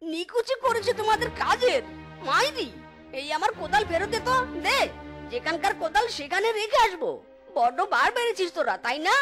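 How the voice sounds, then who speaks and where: A woman's voice: extremely high pitch, big pitch swings, fairly quick, fairly bright tone, faint music behind, exaggerated voice. A woman voicing an exasperated, henpecked fox husband with melodramatic, whining complaints in a cartoon. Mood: angry scolding. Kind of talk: Bengali cartoon dialogue.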